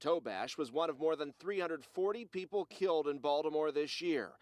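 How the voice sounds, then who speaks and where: A male television news reporter with a fairly high voice. He delivers a scripted live report in broadcast style. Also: normal speed, darkish tone, no room sound, clean studio sound.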